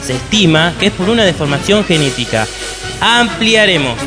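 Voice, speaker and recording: A formal Argentine TV reporter in a radio drama. His voice is high for a man and delivered in newscast style over prominent music. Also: sing-song, moderate pace. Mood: urgent, announcing. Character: brisk, formal male television news reporter. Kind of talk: radio play acting as news bulletin and interview.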